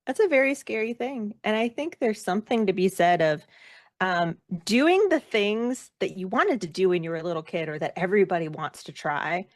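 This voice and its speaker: A woman speaking casually as an interview guest, reflective and self-deprecating, with an animated way of talking. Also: medium pitch, normal speed, no room sound, studio quality. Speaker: guest, actor and performer.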